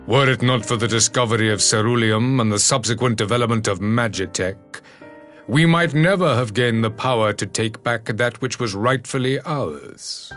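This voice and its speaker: An actor playing a proud, uncompromising emperor in a fantasy video game, with grave, oratorical and archaic formal speech. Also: male voice, mid-range voice, moderate pace, fairly bright tone, soft background music, natural voice. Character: proud, uncompromising middle-aged male emperor, stern and disdainful.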